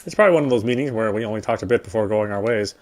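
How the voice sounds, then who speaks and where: A man's voice: fairly low pitch, racing. A man reading visual novel narration aloud during a playthrough, sometimes stumbling and rereading a phrase. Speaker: gamer reading story text in a playthrough.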